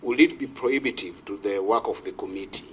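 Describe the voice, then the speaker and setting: A man with a Kenyan accent speaking as a senator on procedure, formal and deferential, in a halting delivery. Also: on the low side, a little hiss or hum. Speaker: senator raising a procedural point. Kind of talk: formal parliamentary debate.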